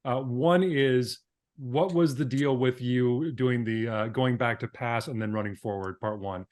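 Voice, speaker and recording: A man with a medium-pitched voice. He hosts a casual interview, putting things together haltingly, piece by piece. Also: darkish tone. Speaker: podcast host, interviewer.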